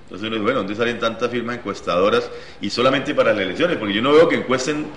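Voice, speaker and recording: A man's voice: mid-range voice, fast talker, echoey room, slight background noise. A man arguing his point insistently on a televised panel debate. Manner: argumentative, insistent listing. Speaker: panel guest, political analyst.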